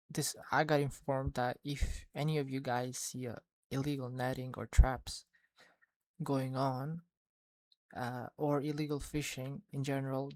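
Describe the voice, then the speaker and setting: A man from Sweden, a fishing vlogger recapping events in a casual, rambling voice-over full of restarts. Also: mid-range voice. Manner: rambling, many restarts and fillers.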